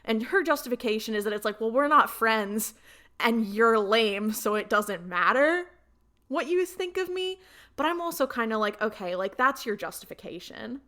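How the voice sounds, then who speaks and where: A woman's voice: fairly high pitch, normal speed. Young woman chatting through fan theories. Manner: animated storytelling, frequent quoting and slangy asides. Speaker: podcast co-host. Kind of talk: casual fan chat.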